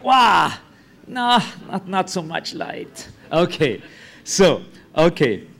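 A man's voice: on the high side, very animated, takes it slowly, vocal fry, fairly bright tone. A man with an Austrian German accent giving a live talk on stage, blunt, with lots of filler sounds and the odd curse word. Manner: hesitant, many uh fillers, blunt with curse words. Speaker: conference speaker. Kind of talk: live talk without slides.

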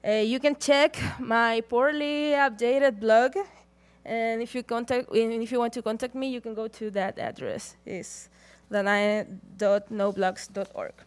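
A young woman from Chile, giving a prepared conference talk from a stage in a measured, deliberate way. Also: a little high. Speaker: conference speaker.

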